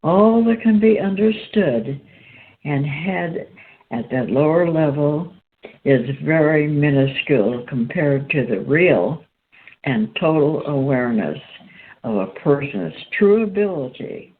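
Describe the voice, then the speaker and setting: A woman host reading aloud from a spiritual text in a halting, deliberate way. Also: sits low, expressive. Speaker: host and reader. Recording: tinny phone-line sound, background noise.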